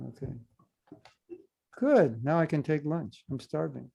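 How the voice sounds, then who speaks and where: Gravelly, mellow man joking over a call. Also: a little high, lively intonation, measured pace, a little hiss or hum. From United States. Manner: humorous, teasing, easygoing. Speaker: spiritual teacher. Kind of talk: relaxed joking lecture Q&A over video call.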